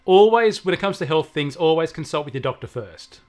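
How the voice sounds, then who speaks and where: Higher-pitched Australian man explaining technology. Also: lively intonation, a little fast, a little mellow. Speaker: technology expert answering questions. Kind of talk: conversational explanation and advice.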